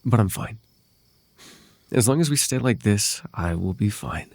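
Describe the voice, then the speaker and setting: Man acting a husky, possessive fae prince. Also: lowish voice, animated, a little slow, no echo, exaggerated voice. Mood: tender, coaxing. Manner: courtly, coaxing, softly menacing. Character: possessive, silky male fae royal wooing a captive lover. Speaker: voice actor. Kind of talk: ASMR roleplay acting.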